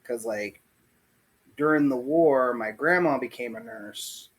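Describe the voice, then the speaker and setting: A man in his forties, born in Puerto Rico and raised in the US South, recalls his life in a casual video-call interview, pausing often and thinking aloud. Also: mid-range voice, slightly rough, low-quality recording. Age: 40s. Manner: slow, reflective, pauses often with "um" and "like". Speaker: interview guest. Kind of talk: casual oral history interview.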